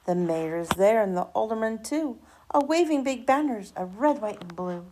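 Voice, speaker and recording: A woman reading a rhyming children's picture book aloud with animated, dramatic emphasis and drawn-out words. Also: on the high side, slight background noise.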